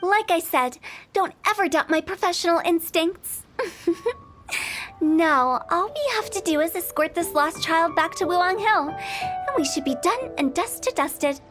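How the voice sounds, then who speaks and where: Cocky funeral director girl, really high voice, teasing and sure of herself. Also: lively intonation, measured pace, a bit bright, heavily acted. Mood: confident. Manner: self-assured, teasing. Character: confident, mischievous young woman funeral director. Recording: game music behind.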